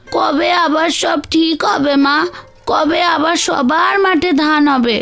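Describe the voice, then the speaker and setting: A woman's voice: high-pitched, fairly even intonation, slow, vocal fry, fairly bright tone, faint music behind, exaggerated voice. A woman voicing a wistful little village girl, a poor farmer's daughter, in a plaintive, pleading manner for a children's cartoon.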